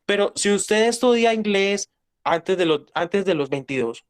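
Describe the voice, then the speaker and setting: Emphatic high-voiced Paisa man. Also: lively intonation, a little slow, clear, resonant tone. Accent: Paisa Colombian. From Colombia. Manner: emphatic, lecturing, blunt. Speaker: guest. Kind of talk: casual interview talk.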